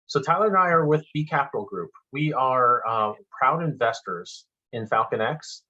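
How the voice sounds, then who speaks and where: A man introduces himself as an investor in an upbeat, polished way. His voice is clear and bright, with average pitch. The sound comes through a dull, muffled call line. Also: lively intonation, normal speed. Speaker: venture capital investor, guest. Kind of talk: casual webinar introduction. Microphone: video call.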